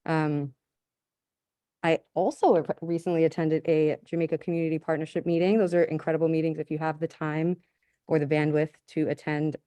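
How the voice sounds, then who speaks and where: A woman reports to a council over a video call in an upbeat, enthusiastic way. Her voice sits low and sounds mellow, and the audio is close and dry. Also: flat delivery, a little fast, dry recording. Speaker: school liaison. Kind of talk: meeting report.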